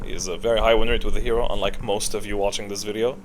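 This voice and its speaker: A man doing casual, unscripted tier-list commentary, opinionated and halting as he thinks aloud. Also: lowish voice, flat delivery, a little hiss or hum, poor audio. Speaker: video creator, gaming commentator.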